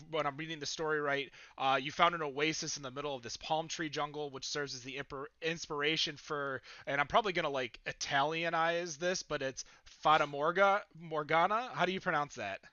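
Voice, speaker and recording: A man's voice: on the high side, pushed and bright, no room sound, dull audio. A man hosting a casual podcast interview, asking long, rambling questions with many fillers. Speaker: podcast host.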